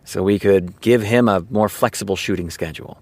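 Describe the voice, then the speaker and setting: A man playing a measured, self-assured television writer in a naturalistic audio drama. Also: lowish voice, natural voice. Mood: matter-of-fact.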